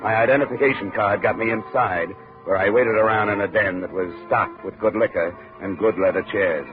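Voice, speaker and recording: An actor playing a hard-boiled insurance investigator in a 1950s radio drama, with clipped, dry, deadpan delivery. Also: male voice, on the low side, brisk, close to an everyday voice. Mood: terse narration. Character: hard-boiled, terse male insurance investigator narrating his case. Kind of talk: 1950s radio detective drama acting and narration. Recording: muffled vintage radio recording with music.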